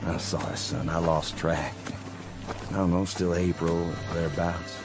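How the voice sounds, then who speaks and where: A man's voice: deep voice, level tone, a little slow. An actor playing a weary, kindly older Confederate sergeant, in folksy, fatherly radio drama style. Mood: weary, gentle. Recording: music behind the voice.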